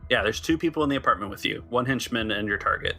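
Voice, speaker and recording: A man's voice: mid-range voice, expressive, fast, bright, forceful tone. A man narrating an improvised tabletop game as game master, often repeating words as he thinks. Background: faint music.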